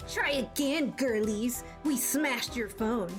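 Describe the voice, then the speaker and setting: A woman voicing a menacing masked mercenary villain in a video game, taunting and contemptuous with drawn-out words. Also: on the high side. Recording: music behind the voice.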